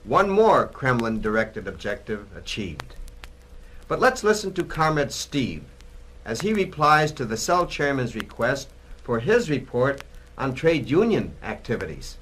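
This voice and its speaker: A man delivers scripted narration in the style of a 1960s political documentary record. His mid-range voice swings widely in pitch. He is emphatic and admonishing, like an orator warning his listeners. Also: big pitch swings, a little slow, a bit bright, slight background noise, poor audio.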